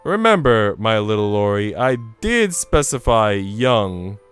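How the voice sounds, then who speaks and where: A man's voice: lowish voice, sing-song, takes it slowly, fairly bright tone, clean tone, exaggerated voice. A man reading aloud a teasing, affectionate, proper lady from a visual novel, playful and genteel, over music. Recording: music playing behind the voice.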